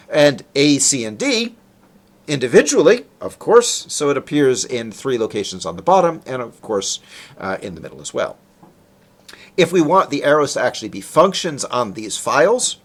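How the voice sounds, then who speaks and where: A man lecturing on technical material in an informal, conversational way, posing questions and then answering them himself. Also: medium pitch. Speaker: lecturer, researcher presenting.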